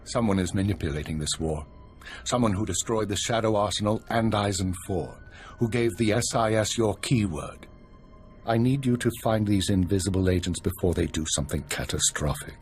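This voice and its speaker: An actor playing a stern, weary older spymaster in a video game, giving orders in a measured, authoritative way. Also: male voice, fairly low pitch, faint music behind, natural voice. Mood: grave. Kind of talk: video game cutscene acting.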